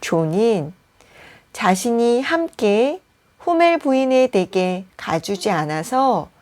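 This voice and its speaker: A woman reading a bedtime story for language learners as a teacher, with careful phrasing and frequent pauses. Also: a little high, very animated, a little mellow.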